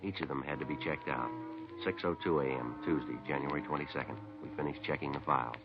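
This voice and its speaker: Polite, no-nonsense American detective, rapid clipped delivery, dull vintage radio sound. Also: male voice, extremely low pitch, music in the background. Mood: businesslike. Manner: terse, flat, matter-of-fact. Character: stoic, polite, businesslike male police detective. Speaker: narrator and lead actor. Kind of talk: 1950s radio police drama, clipped narration. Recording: dull 1950s radio broadcast recording.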